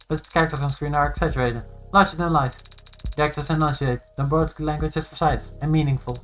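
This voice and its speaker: A man's voice: a little high, fairly bright tone, pitched above the performer's own voice, lightly acted, rough recording. A man voicing an earnest, naive, bookish female artificial intelligence in a video game, polite and literal, explaining things carefully.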